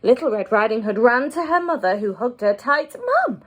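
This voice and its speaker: A woman teacher reading a children's story, expressive and deliberate, as if to small listeners. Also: fairly high pitch, highs cut off.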